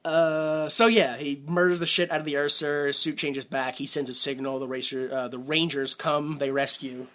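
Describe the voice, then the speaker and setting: Male podcast co-host, bright edge to the voice, loose and animated, laughs through his retelling. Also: on the high side. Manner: animated, swings between quiet mutters and loud outbursts; laughs often, acts out characters in quick asides. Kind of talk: casual movie recap chat.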